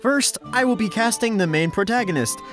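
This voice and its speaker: A man presents a casting call in a casual, informal way. His voice sits a little high, he slips in self-deprecating asides, and soft music plays behind him. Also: lively intonation, fairly quick. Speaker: fan dub project director and host. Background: background music.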